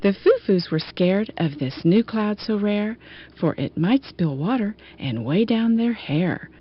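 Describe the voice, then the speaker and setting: A woman narrates a rhyming picture book for children. Her delivery is expressive and melodic, rising and falling freely as she tells the story. The recording is a little dull and lo-fi. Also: medium pitch, measured pace, husky. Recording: dull, lo-fi audio.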